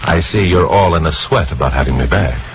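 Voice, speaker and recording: A man's voice: low voice, animated, brisk, background noise. An actor playing a tough, wisecracking undercover newsman in a mid-century radio crime drama, clipped and wry. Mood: breezy. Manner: hard-boiled, clipped, wry. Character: tough wisecracking male newsman working undercover, narrating his case. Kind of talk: 1940s-50s radio crime drama acting. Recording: old radio recording with music behind.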